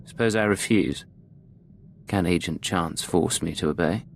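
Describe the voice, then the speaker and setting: An actor playing a cool, cynical secret agent in a video game, speaking in a controlled, laconic deadpan. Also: male voice, sits low, measured pace, vocal fry, slight background noise. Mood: cool, sardonic.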